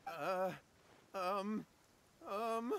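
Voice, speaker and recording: A man's voice: high-pitched, drawn-out words, a bit bright, slight background noise, full character voice. A man voicing a nervous, evasive, cowardly young villager in an anime game, flustered and stammering. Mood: panicked, evasive. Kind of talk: anime video game voice acting.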